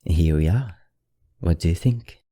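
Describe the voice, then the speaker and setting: ASMR roleplay: male actor as a centuries-old vampire librarian, husky and close-miked dry, old-fashioned courtesy, softly teasing, affected accent. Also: low-pitched, animated, a little slow, dry recording. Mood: genial, proud. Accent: put-on, not his own. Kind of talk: ASMR roleplay, addressing the listener.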